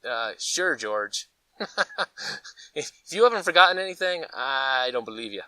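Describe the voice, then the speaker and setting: A man's voice: mid-range voice, moderate pace, no room sound, distant mic. An American man from Colorado chatting casually as a vlog host, telling stories in a folksy, conversational way. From Colorado, United States. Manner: animated, folksy, conversational storytelling with acted-out asides. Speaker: host of a preparedness gear channel. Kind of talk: casual rambling vlog chat.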